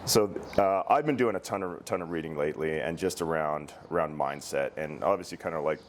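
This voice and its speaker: A man hosting a casual interview, speaking haltingly and repeating words as he phrases his questions. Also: rumbling low, slight background noise. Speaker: interview host, girls hockey director.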